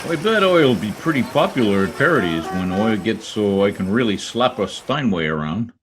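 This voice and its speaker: An actor playing a brash, boastful, folksy husband in an old-time radio comedy, talking in cocksure slang. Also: male voice, fairly low pitch, very animated, moderate pace, over music, poor audio. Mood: boastful, breezy. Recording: video call recording.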